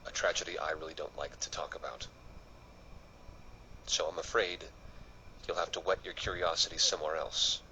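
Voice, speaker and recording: A man's voice: extremely low pitch, fairly even intonation, moderate pace, pauses often, pushed and bright, slight background noise, exaggerated voice, poor audio. An actor playing an evasive adult man in a video game who coldly dismisses a snoop. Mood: curt.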